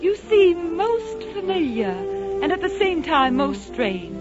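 A woman's voice: very high-pitched, sing-song, takes it slowly, exaggerated voice. A woman acting a playful aristocratic hostess in a 1940s radio drama, arch and theatrical. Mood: arch, amused. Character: gracious, playful aristocratic lady hosting a masquerade. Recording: dull old radio recording with music.

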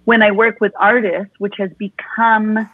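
A woman's voice: medium pitch, level tone, measured pace, slightly rough, no room sound. A woman vocal coach as an interview guest, explaining expressively and demonstrating voices, talking with her hands. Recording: tinny phone-like dry sound.

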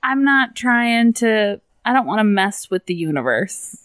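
A woman's voice: fairly high pitch, very animated, a little slow, fairly bright tone, clear, resonant tone, dry recording, clean studio sound. A woman co-hosting a podcast, chatting casually, laughing often and talking bluntly. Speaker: podcast co-host. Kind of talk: casual chat.

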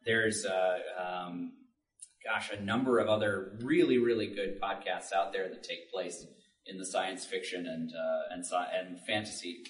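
A man speaking in a panel discussion with halting, thoughtful phrasing, recorded in a library room. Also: sits low, fairly even intonation. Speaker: audio drama creator on a panel. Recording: echoey room, distant mic.

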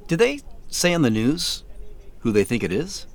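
An actor playing a polite, hesitant man in naturalistic audio drama dialogue, speaking haltingly. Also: a little high, huge pitch swings. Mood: casual. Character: Joseph, polite, hesitant man visiting old friends.

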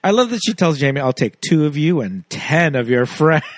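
A man's voice: on the high side, very animated, moderate pace, no echo, studio quality. A man, a podcast host, telling stories in a chatty way with many fillers in a casual film chat.

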